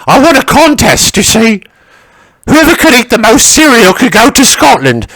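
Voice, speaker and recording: A man playing a chatty, crude older working-class woman who rambles through a story and shouts for her husband and dog. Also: extremely high pitch, big pitch swings, moderate pace, heavily acted. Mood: gossipy. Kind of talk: stand-up character monologue.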